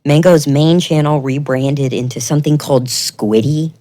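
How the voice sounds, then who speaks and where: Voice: mid-range voice, normal speed, mellow. A video creator delivering semi-scripted commentary in an earnest, accusatory and emphatic way.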